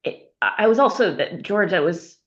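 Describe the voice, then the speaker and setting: A woman's voice: mid-range voice, expressive, normal speed. A woman taking part in a group discussion, casually offering feedback and thinking aloud. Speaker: participant giving script feedback. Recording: echoey, dull call audio.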